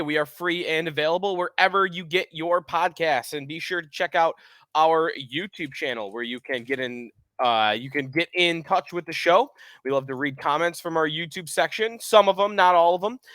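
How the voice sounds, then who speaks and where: High, bright-voiced man with a cold. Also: moderate pace, clean tone, no echo. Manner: energetic, opinionated, chatty. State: fighting a cold, losing his voice. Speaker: podcast host. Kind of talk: sports podcast talk.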